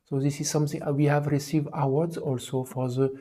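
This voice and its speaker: A man's voice: on the high side, flat delivery, fairly quick, warm tone. A man in his forties from France with a French accent, hosting a spiritual livestream in hesitant talk full of fillers. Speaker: livestream host.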